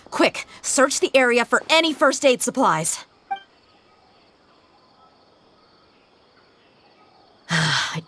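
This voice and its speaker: A woman's voice: lowish voice, fairly bright tone, exaggerated voice. A young woman playing a tough, blunt, self-assured mercenary in a video game. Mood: startled, urgent. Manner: assertive, no-nonsense. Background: game music.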